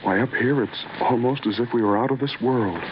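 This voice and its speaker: A man plays a deferential young assistant in an old radio drama. He is polite and wondering, with a mid-pitched voice, muffled under clear noise. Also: brisk, natural voice. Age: young adult.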